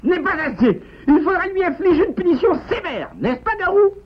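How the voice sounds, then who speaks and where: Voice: very high-pitched, very animated, a little fast. A performer voicing a haughty, vindictive forest animal in a French cartoon dub. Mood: vindictive, gloating. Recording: muffled cartoon soundtrack.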